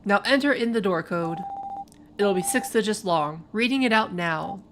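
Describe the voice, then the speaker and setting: A woman with a raspy voice voicing a bossy, curt female office coworker, giving orders while reading game dialogue aloud. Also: mid-range voice, expressive, normal speed, background noise, close to an everyday voice. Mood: commanding.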